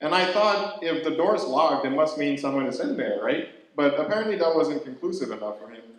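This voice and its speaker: A young man from Ghana, doing a stand-up set with a dry, halting delivery full of fillers and restarts, laughter behind him. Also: on the high side, lively intonation. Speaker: stand-up comedian. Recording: very echoey room, faint noise. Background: audience laughter.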